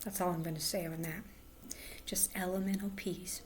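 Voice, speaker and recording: A woman with a hoarse voice, rambling casually as she hosts a crafting livestream from home, sometimes drifting into soft murmurs. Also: lowish voice, fairly even intonation, normal speed, a little hiss or hum. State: hoarse, lost voice. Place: at home indoors.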